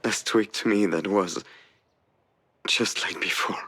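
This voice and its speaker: A man's voice: fairly low pitch, slow pace, pushed and bright, slightly rough, heavily acted. An actor playing a guarded, hurting man in a video game drama, protective and self-effacing, with a hesitant, defensive way of talking. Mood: vulnerable. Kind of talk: naturalistic dramatic visual novel voice acting.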